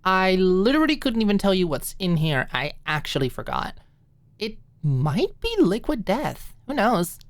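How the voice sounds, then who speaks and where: A woman voicing a playful, excitable, flippant young woman in an audiobook story. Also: medium pitch, a little slow, dry recording, pitched above the performer's own voice. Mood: playful, excited.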